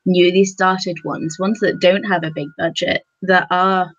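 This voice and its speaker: Woman, casual panelist, slow and halting delivery, slightly dull sound. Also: fairly low pitch, steady tone, fairly bright tone. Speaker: podcast panelist.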